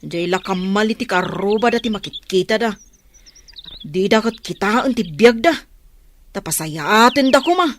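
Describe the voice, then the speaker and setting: A woman's voice: mid-range voice, a bit bright, lightly acted. A woman playing a concerned, talkative village woman who gives frank advice in an Ilocano radio drama. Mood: chiding. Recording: noisy track with birdsong and clicks.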